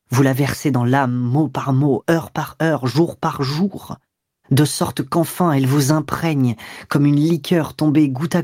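A man voicing an ardent young man who pleads his love in low, fervent tones. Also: darkish tone, husky, no echo, clean studio sound.

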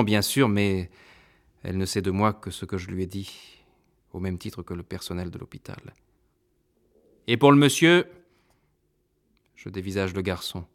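A man reads a first-person novel aloud in a very low, deep voice. His delivery is measured and introspective, steady from one sentence to the next. He sounds like an audiobook narrator.

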